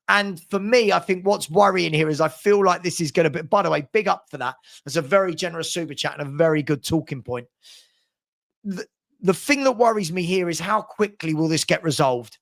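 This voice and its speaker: A gravelly, fairly high-pitched man's voice, middle-aged and chatty. He hosts a live fan stream, talking casually and matily to his viewers, often doubling back on his words as he answers comments. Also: fairly bright tone. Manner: chatty, matey, hesitant with repeated words. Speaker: host of a football fan livestream.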